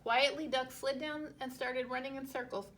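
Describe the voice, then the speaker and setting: High, bright-voiced woman reading a picture book. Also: fairly even intonation, moderate pace, a little hiss or hum. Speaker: teacher reading aloud to children. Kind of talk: expressive picture-book narration.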